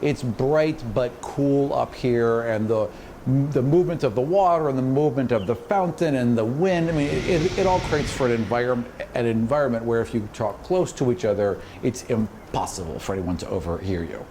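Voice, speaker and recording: A man's voice: medium pitch, normal speed, dark tone, slight background noise. A man working as game master, improvising narration for a tabletop game in a conversational, explanatory way.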